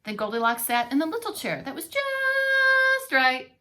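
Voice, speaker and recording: A woman's voice: very high voice, wildly swooping pitch, measured pace, bright, forceful tone. A woman reading a story aloud to young children as a preschool teacher, expressively and with playful asides. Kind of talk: expressive children's read-along narration.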